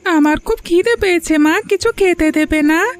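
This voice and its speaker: A woman's voice: extremely high pitch, normal speed. A woman voicing an innocent, eager little village boy in a story cartoon, childlike and pleading. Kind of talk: Bengali moral story cartoon dubbing.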